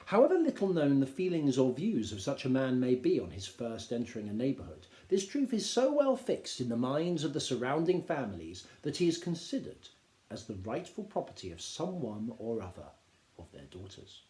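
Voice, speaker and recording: A man reading a classic novel aloud as an expressive literary narrator. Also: medium pitch, normal speed. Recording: roomy, echoing indoor sound.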